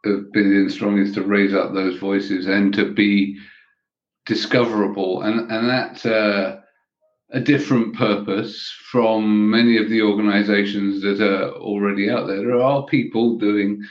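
A man co-hosting a podcast, speaking in a measured, thoughtful way and often restarting his sentences. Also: lowish voice, fairly even intonation. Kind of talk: conversational podcast talk. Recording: echoey, dull lo-fi audio.

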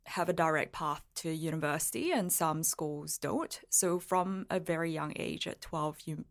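A woman's voice: sits low, normal speed. A woman who grew up in Singapore and Melbourne, talking casually and reflectively as an interview guest, explaining with small asides. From Singapore, moved to Melbourne at thirteen. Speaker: podcast guest, lawyer and blogger.

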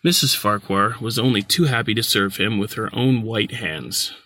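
Bright-voiced man reading a literary story. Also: fairly low pitch, moderate pace, no echo. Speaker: audiobook narrator. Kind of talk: scripted literary short story narration.

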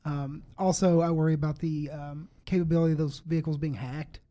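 Wry male reporter, fairly high voice. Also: steady tone, a little fast, dark tone. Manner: wry, matter-of-fact reporting. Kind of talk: casual panel chat.

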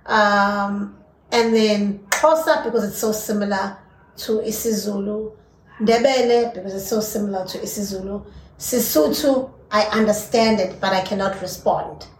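A woman's voice: mid-range voice, moderate pace, far from the mic, slight background noise. A playful South African woman with Zulu as her first language, chatting casually and laughing at her own slips. She sounds a step back from the mic, in an echoey room with faint ambience behind her. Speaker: podcast co-host teaching languages. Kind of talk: casual chat.

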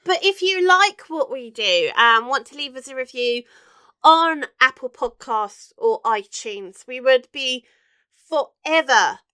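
A woman's voice: sits high, lively intonation, slow pace, bright, forceful tone, clear, resonant tone. A woman co-hosting a podcast, talking in a candid, earnest, casual way. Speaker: podcast co-host.

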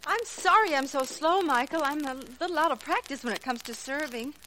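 A courteous, earnest lady from a vintage radio play, speaks with proper composure. Her voice is fairly high, and the old recording is noisy and crackly. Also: expressive, fairly quick, sharp tone. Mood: anxious, apologetic. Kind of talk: 1940s radio drama acting.

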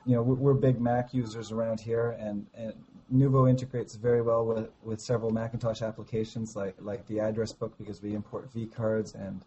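A man with a slightly hoarse voice from a cold, talking about his startup in a halting, enthusiastic way during an interview call. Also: fairly low pitch, level tone, mellow, muffled recording, a little hiss or hum. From Canada. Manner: halting, many restarts, enthusiastic. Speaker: startup founder guest. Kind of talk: casual interview over a call.

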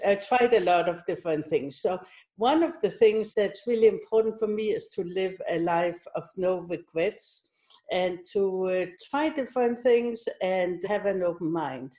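A woman's voice: fairly low pitch, warm tone. A middle-aged woman from Denmark, answering interview questions conversationally as a company executive. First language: Danish.

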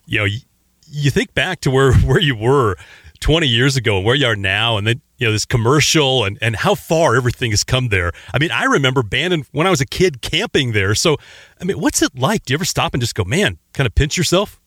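A male podcast host with a lively voice whose pitch swings widely as he asks eager questions. The recording is dry and close, with almost no room sound. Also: fairly quick, no echo. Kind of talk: casual interview chat.

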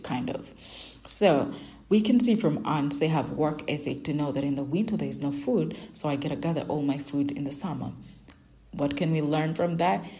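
A woman's voice: low voice, darkish tone. A young woman whose first language is Luganda, hosting a devotional podcast and sharing earnest, conversational reflections on scripture and her own life. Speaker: Bible devotional podcast host. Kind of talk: personal Bible reflection and teaching.

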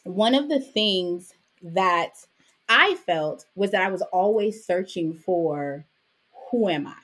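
A Jamaican-born woman raised in Brooklyn, a podcast guest telling her story in a lively, chatty way with frequent "right?" asides. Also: on the low side, takes it slowly, slightly rough. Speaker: podcast guest, author and business owner. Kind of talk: casual interview conversation.

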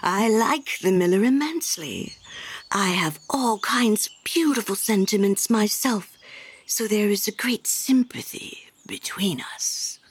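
A woman's voice: mid-range voice, expressive, measured pace, slightly rough. A woman voicing a self-important, gossipy water rat with a haughty, know-it-all manner.

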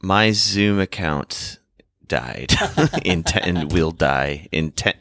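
A man hosts a casual podcast in a very low voice. He speaks slowly and haltingly, rambling through his thoughts with pauses. The sound is close and dry, right up on the microphone. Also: lively intonation, creaky, a bit bright, no echo. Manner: halting, hesitant delivery with long pauses. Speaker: podcast host. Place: remote recording over a video call. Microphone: microphone held close to the mouth.

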